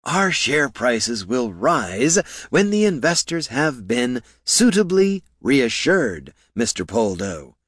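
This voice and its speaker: A man portrays a stout, grandfatherly businessman in a fantasy storybook. The voice is breathy and husky, genial on the surface but smugly pointed, recorded dry and close. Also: sits high, very animated, normal speed, few pauses, dry recording, pitched above the performer's own voice, heavily acted. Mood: smooth, pointed. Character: kindly-seeming, smug, portly grandfatherly businessman.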